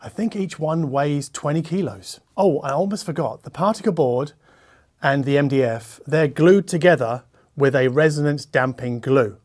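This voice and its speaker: A man's voice: on the high side, normal speed, darkish tone. A man in his forties giving a casual product review to camera, conversational and emphatic, with asides and self-corrections. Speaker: host, audio equipment reviewer. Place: furnished living-room listening room, indoors.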